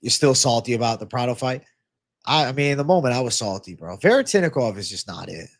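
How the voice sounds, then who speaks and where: A man's voice: medium pitch, expressive, fast talker. A man with a stuffy, congested nose hosting a casual livestream, reading viewer comments aloud in a laid-back, slangy way and swearing freely. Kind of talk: casual livestream Q&A on fight betting.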